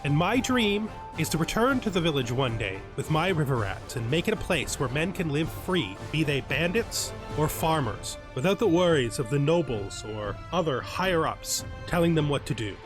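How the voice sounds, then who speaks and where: A man's voice: on the high side, sing-song, moderate pace, music in the background. A man voicing a boastful, scornful young male bandit boss who reads from his own journal. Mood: defiant. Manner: proud first-person journal reading.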